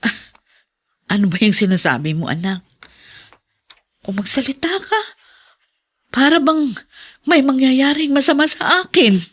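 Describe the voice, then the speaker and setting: A self-effacing Filipino mother in a radio drama. Her voice is fairly high, and she speaks gently and hesitantly, as if hiding her worries. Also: animated, unhurried, long pauses, slightly rough, heavily acted. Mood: gentle, uneasy. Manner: gentle, hesitant, brushing off concern. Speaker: actress in a drama.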